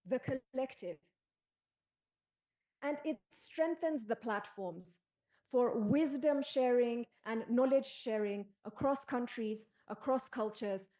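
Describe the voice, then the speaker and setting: Kenyan woman on a panel, bit high voice, measured and emphatic. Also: dark tone. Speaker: panelist and special advisor. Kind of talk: formal panel speech.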